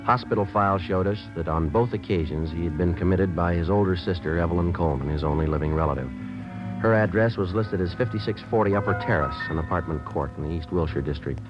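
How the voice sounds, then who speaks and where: A very low-voiced American police sergeant played by a male actor rattles off facts in a deadpan, businesslike way. It is old-time radio crime drama, dull in sound with music clearly behind. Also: fast talker, natural voice. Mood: matter-of-fact. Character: terse, matter-of-fact male police sergeant detective. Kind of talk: 1950s radio drama narration and dialogue.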